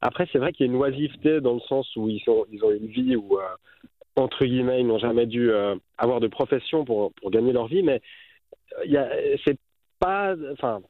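A man's voice: medium pitch, lively intonation, fairly quick, dry recording. A man in his thirties with a Swiss French accent, a guest filmmaker speaking reflectively with many fillers over a phone line. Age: 30s. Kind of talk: radio interview by phone.

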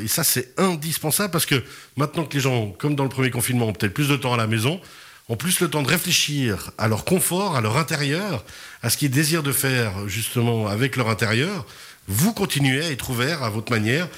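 A man hosting a local radio talk show, chatting casually with guests, laughing often and filling pauses with hesitations. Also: mid-range voice, animated, a bit bright, breathy edge.

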